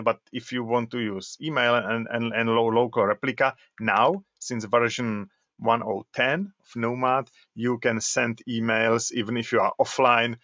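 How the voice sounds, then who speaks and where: Male presenter, slow and halting, dry dull webinar audio. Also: mid-range voice, a bit bright, no room sound. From Czech Republic. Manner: halting, repeats words while demonstrating. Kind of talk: software demo walkthrough.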